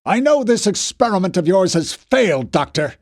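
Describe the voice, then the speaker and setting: A man voicing a furious, barking male army captain who shouts his orders. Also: sits high, sing-song, moderate pace, pitched above the performer's own voice.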